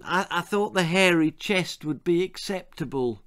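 A man plays a hapless, clumsy storybook suitor. He sounds sheepish and apologetic, hesitant in a somewhat high, lively voice. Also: slow, exaggerated voice.

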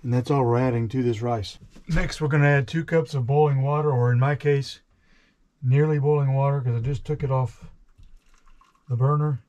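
A man in his forties giving a casual, halting, step-by-step cooking demonstration in a small indoor space. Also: medium pitch, steady tone, mellow. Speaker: vlogger, home cook. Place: small boat galley, indoors. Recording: clear background noise, clicks.

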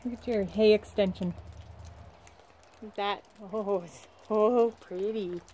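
A woman's voice: mid-range voice, steady tone, slow pace, mellow, a little hiss or hum. Chatty young woman vlogging at a barn. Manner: chatty, talks fondly to her horses. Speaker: vlogger, horse trainer. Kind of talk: casual vlog chat and voice-over. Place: outdoors at a barn and paddock. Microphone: phone held at arm's length.

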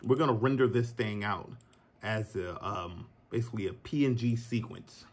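Husky, laid-back man walking through a tutorial. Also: on the low side, normal speed. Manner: relaxed, chatty, addresses viewers as y'all. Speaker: filmmaker teaching a tutorial. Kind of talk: casual screen-recorded tutorial. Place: green screen studio, then home office. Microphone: phone recording.

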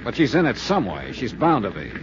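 A man's voice: medium pitch, wildly swooping pitch, brisk, a little hiss or hum. A man playing a hard-boiled investigator who narrates and questions people tersely in a 1950s radio detective drama. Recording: dull vintage radio recording with music.

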